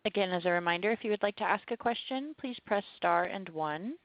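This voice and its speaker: A woman's voice: medium pitch, lively intonation, fairly quick, dry recording. A woman working as a conference call operator, making formal scripted announcements. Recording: dull, dry phone line.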